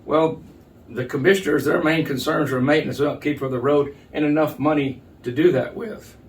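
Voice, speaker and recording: Older man, halting, slightly distant mic. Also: a little high, steady tone. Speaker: county judge, interview guest. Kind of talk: explanatory interview answers. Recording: distant mic, noticeable background noise.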